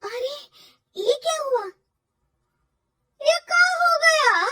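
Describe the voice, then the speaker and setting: A woman voicing a stubborn old village mother-in-law in a cartoon story, exclaiming loudly in rustic speech. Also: extremely high pitch, expressive, extremely slow, voice pushed up, heavily acted. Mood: scolding.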